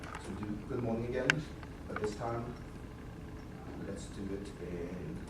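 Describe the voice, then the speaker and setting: A man's voice: fairly low pitch, measured pace. A man whose first language is Swedish presents a technical demo at a conference, walking through code step by step in an informal way with frequent restarts. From Sweden. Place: large conference room. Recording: echoey hall, voice far from mic, noisy.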